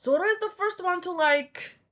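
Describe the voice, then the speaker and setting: A woman hosting a read-along in a casual live commentary style, with expressive, exclamatory reactions. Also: extremely high pitch, moderate pace. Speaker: host of a manga read-along stream.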